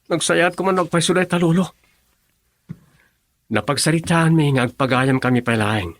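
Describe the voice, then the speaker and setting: An actor playing an earnest, lovestruck young student in an Ilocano radio drama. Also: male voice, a little high, moderate pace, lightly acted.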